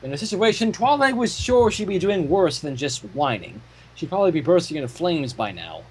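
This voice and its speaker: A man giving an expressive, storybook-style narration as he reads fan fiction aloud. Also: fairly high pitch, fairly quick, husky, poor audio.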